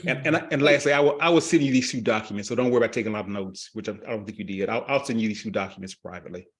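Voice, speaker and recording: An older man on a video call giving speaking tips in a slow, halting way, often repeating words. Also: lowish voice. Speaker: meeting member giving advice. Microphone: headset.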